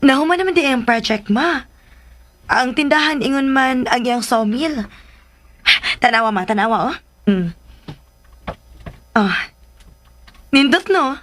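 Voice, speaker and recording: A woman acting an eager, stubborn young daughter in a Cebuano radio drama, with an excitable, whiny way of talking. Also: high-pitched, unhurried, pauses often, slight background noise. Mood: casual, coaxing.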